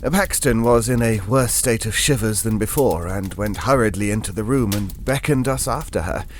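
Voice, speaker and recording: An actor playing an eager, courteous, slightly nervous gentleman who speaks and narrates hesitantly in an audio drama. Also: fairly low pitch, normal speed, a little hiss or hum, lightly acted. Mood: hesitant, recounting. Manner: genteel, hesitant, excitable.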